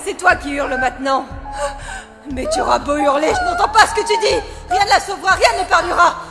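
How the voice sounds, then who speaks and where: A woman's voice: very high voice, huge pitch swings, fairly quick, exaggerated voice. A woman voicing a cruel, vengeful, unhinged teenage girl villain in a French anime fandub, taunting and theatrically menacing. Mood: gloating cruelty. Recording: reverberant hall sound, clear music behind.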